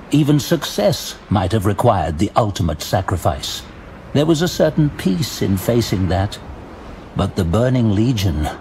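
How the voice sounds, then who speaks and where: A man giving scripted fantasy audio drama narration, measured and dramatic, recorded as a studio voice-over. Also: medium pitch, a little mellow, a little hiss or hum. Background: faint music.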